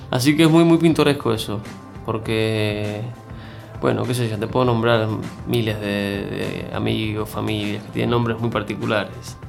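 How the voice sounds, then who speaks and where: A man's voice: fairly low pitch, fairly even intonation, unhurried, gravelly, music in the background. A middle-aged man from Cuba with a mixed Cuban and Argentine accent, talking as an interview guest with many fillers and restarts. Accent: Cuban with Argentine mix. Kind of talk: conversational interview.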